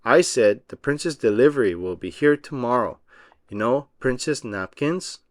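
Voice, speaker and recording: A man's voice: medium pitch, lively intonation, moderate pace, no room sound, clean studio sound, close to an everyday voice. Man doing a casual delivery driver. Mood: offhand. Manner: casual, shouting over. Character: offhand adult male delivery truck driver.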